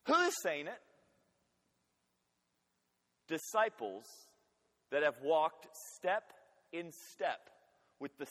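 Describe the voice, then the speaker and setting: A man preaching a sermon with emphatic delivery, swinging between urgent loud passages and quiet, intimate ones. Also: on the high side. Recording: long hall reverb.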